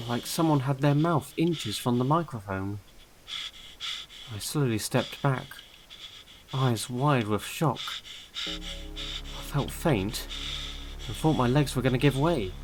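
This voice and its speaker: Slow-paced man narrating a horror story. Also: mid-range voice, rough recording. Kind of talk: scripted first-person horror narration. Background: eerie background music.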